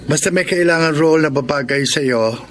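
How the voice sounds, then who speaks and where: A friendly, chummy young man played by a male actor in a radio drama. His voice sits a little high, and there is faint noise in the recording. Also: normal speed, a bit bright, natural voice. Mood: casual, chummy. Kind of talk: Tagalog radio drama acting.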